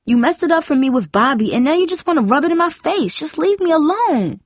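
A woman's voice: mid-range voice, big pitch swings, brisk, breathy edge, no echo, studio quality. A woman voicing a defiant, defensive teenage girl with a heated, slangy way of talking, in a story reading. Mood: furious. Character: defiant, defensive younger sister, a school cheerleader.

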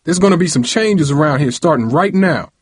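A furious middle-aged uncle from an audiobook novel , acted out by a male narrator. He bellows in a loud voice pushed up high, stern and domineering, with blunt colloquial phrasing. The sound is close and dry. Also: animated, brisk, breathy edge, dry recording. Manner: stern, colloquial, confrontational scolding.

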